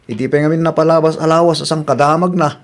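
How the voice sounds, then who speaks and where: A man's voice: fairly high pitch, steady tone, quick pace, close to an everyday voice. A man plays an agreeable young Ilocano husband in an audio drama. He is modest and easygoing, speaking with fond devotion as a family man. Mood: modest, reassuring.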